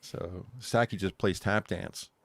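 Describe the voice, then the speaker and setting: Low-voiced man in dry, rambling chat. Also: steady tone, a little slow, no room sound. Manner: rambling, laughs at own jokes. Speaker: podcast co-host. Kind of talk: casual chat.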